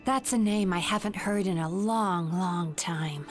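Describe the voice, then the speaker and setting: A woman playing a calm, knowing young dream-eating yokai in an anime video game, explaining in measured lines. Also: fairly low pitch, faint music behind, lightly acted. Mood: grave, knowing.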